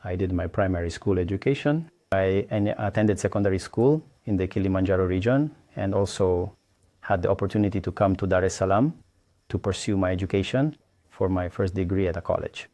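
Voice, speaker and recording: A man from Tanzania, an engineer, explaining his background and satellites in a halting way, with pauses and restarts. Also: low-pitched, mellow, no room sound. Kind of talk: explaining his work to camera.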